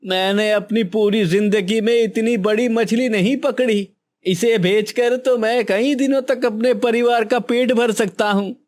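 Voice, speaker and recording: Woman doing a high-pitched, plaintive poor fisherman. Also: steady tone, unhurried, a little mellow, natural voice. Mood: overjoyed. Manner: earnest, plaintive. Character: poor, pleading fisherman, humble family man. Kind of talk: cartoon moral story voice acting.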